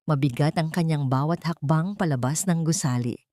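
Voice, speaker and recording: A Filipino woman narrates a scripted love drama in a low voice. The delivery is dramatic storytelling, and the recording is dry and close. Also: normal speed, mellow, no room sound.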